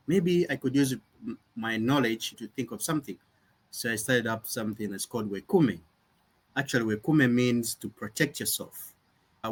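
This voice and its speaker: A man's voice: mid-range voice, slightly rough. Earnest young man, founder. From Uganda. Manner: earnest, reflective, with frequent restarts. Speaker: nonprofit founder, guest. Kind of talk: conversational interview.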